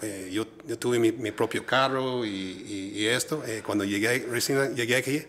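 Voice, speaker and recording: A man's voice: medium pitch, normal speed, fairly bright tone, slight background noise. A man from Minnesota with an American English accent, middle-aged, speaking Spanish as a second language and answering interview questions hesitantly with many fillers. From United States, Minnesota. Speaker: guest, entrepreneur.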